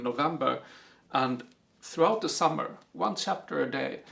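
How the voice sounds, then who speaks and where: Gravelly-voiced Swedish man reviewing books. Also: medium pitch, a bit bright. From Sweden. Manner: reflective, with frequent fillers and pauses. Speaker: book vlogger. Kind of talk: casual book review vlog.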